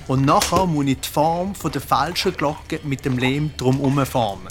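A man's voice: medium pitch, moderate pace, noisy background, natural voice. A man with a Swiss accent playing a good-natured, thoughtful monk craftsman who thinks aloud in a children's radio play. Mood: explaining.

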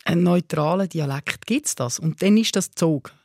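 A Swiss German man hosts a podcast in a lively, chatty way, tossing out quick questions. His voice is a little higher than most men's. The sound is dry and close to the mic. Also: vocal fry, dry recording. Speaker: podcast host. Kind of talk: casual podcast chat.

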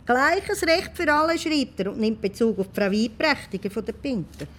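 An actress in a radio play playing a disapproving, matter-of-fact village official. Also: female voice, on the high side, expressive, normal speed, a little hiss or hum, lightly acted. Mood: dry, mocking. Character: dry, disapproving female village council official.

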